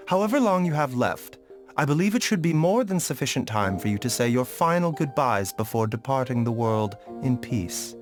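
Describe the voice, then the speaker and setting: A man voices a composed game doctor, formal and gently wry. His mid-pitched delivery is polite and curious, with faint music underneath. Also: close to an everyday voice. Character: calm, courteous, clinically curious male doctor. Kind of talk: video game voice acting.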